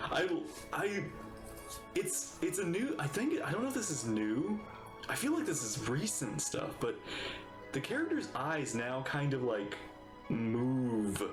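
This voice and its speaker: A man giving casual, amused live reactions to a video game as a streamer, in a chatty manner. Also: fairly high pitch. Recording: roomy echo, game music behind. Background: game music.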